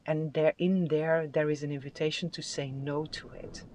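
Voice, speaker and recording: A tarot card reader giving a spiritual reading with affirmations, in a soft, soothing and unhurried voice that sometimes drops to a whisper. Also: medium pitch, fairly even intonation, a little hiss or hum. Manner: soft, soothing, unhurried delivery with drawn-out phrases.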